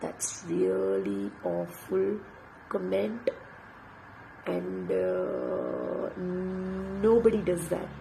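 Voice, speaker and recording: A woman whose first language is Tamil, an English instructor with a sore throat and a nearly lost voice, talking late at night in a hushed, breathy half-whisper and reading aloud from a book. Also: lowish voice, animated, very slow, a little hiss or hum, poor audio.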